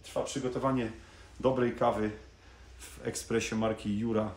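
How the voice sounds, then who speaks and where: A man, chatting casually to camera as a salesman in a coffee machine showroom, pausing with small hesitations as he points things out. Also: mid-range voice, flat delivery. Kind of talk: casual product presentation to camera. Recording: echoey showroom with faint room noise.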